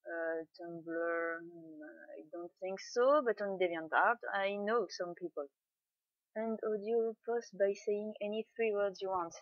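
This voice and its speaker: A woman's voice: mid-range voice, fairly even intonation, unhurried, dry recording. A young woman from France with a French accent, chatting awkwardly and a bit shyly in a casual voice meme, reading words aloud. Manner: awkward, shy, laughing at herself. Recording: dry, dull lo-fi home mic.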